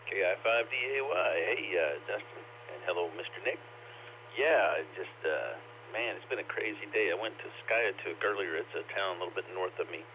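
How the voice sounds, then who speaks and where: A low-pitched man with a scratchy, slightly bassy voice from a recent cough. He rambles through his day in easy, chatty fashion on a ham radio net. The sound is thin and narrowband, with faint static. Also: moderate pace. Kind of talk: casual on-air chat.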